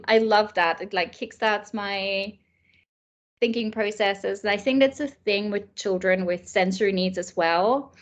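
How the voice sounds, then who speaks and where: A woman's voice: mid-range voice, fairly bright tone. Woman chatting as a guest. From Germany. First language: German. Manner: thoughtful, many fillers and restarts. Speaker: author and illustrator guest. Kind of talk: casual interview chat.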